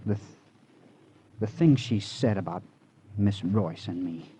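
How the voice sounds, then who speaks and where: An actor in an old radio crime drama playing a troubled, devoted young man with halting, repetitive speech. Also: lowish voice, dark tone, natural voice. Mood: brooding, devoted. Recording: muffled, hissy old radio recording.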